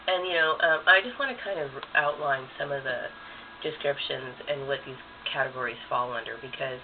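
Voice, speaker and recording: A man vlogging casually with a cold, sounding nasal and scratchy, rambling with many pauses and fillers. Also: medium pitch. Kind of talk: casual talking-head vlog. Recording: tinny phone-like audio with hiss.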